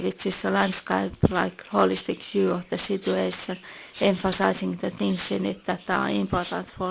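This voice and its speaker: Gravelly Finnish-accented woman, flat delivery. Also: mid-range voice, a little hiss or hum, rough recording. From Finland. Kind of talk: read personal essay.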